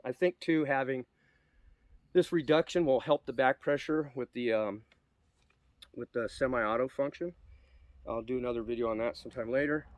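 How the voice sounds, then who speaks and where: A man's voice: mid-range voice, normal speed, long pauses, no echo. A man with a cold, sniffly, giving a rambling casual review of an air rifle outdoors, with many ums and restarts. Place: outdoors at a shooting spot.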